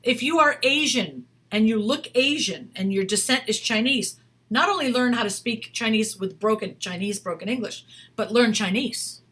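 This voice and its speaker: Woman, middle-aged, acting coach, chatty and emphatic, bright tone, somewhat high pitch. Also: normal speed, husky. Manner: chatty, emphatic, reads a viewer's email aloud. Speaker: acting career coach and talent manager. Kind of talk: casual direct-to-camera advice video.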